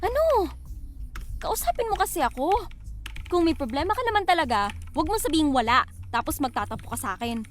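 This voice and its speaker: A woman's voice: high voice, very animated, a little fast, slight background noise. A woman with a Filipino accent acting a young woman talking to her best friend in an amateur drama. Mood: hurt, confronting.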